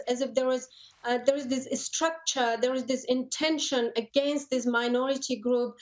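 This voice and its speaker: A woman in her thirties from Afghanistan gives a news interview in a fairly high, level voice. She is earnest and halting, often repeating words. The audio is slightly dull and lo-fi. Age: 30s. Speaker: interview guest, lawyer and human rights advocate.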